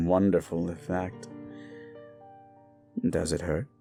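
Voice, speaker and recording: Deep, slow, paranoid yandere prince, soft menace and whispers; gravelly, animated delivery. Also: male voice, heavily acted. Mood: commanding. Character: unhinged possessive young prince, paranoid. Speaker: voice actor. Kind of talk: audio roleplay drama. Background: faint dark music.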